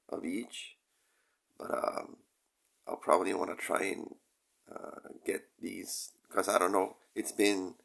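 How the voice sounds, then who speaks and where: A man getting over a cold, with a crackly voice, hosting a casual toy review in a hesitant, rambling way with frequent pauses. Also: low voice, a bit bright. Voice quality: crackly, gravelly. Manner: hesitant, rambling, pausing often, frequent throat clearing. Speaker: toy review channel host. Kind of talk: casual product review talk.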